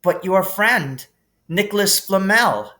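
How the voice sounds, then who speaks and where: A man's voice: high voice, expressive, slow pace, bright, forceful tone, pitched above the performer's own voice, full character voice. A man voicing an anxious, earnest young schoolboy in a storybook reading, with an urgent, questioning manner. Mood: surprised, worried. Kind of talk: audiobook character reading.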